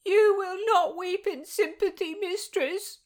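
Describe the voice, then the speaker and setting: A woman voicing a tearful, lovestruck young maidservant in a storybook reading, with a high theatrical delivery. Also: slow. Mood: tearful, lovesick.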